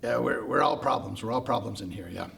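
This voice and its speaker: A man's voice: mid-range voice, vocal fry, fairly bright tone, a little hiss or hum, voice pushed down. Man voicing a meek, insecure little creature. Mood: shy, reluctant. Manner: meek, halting, self-doubting. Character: small timid insecure creature, a lonely little problem.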